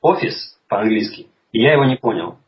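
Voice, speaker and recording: A man talking in a relaxed conversation, thoughtful and hesitant, with many restarts as he explains things. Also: fairly low pitch, a little fast. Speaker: guest. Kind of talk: casual conversation. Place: lives in England. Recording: slightly dull lo-fi audio with faint noise.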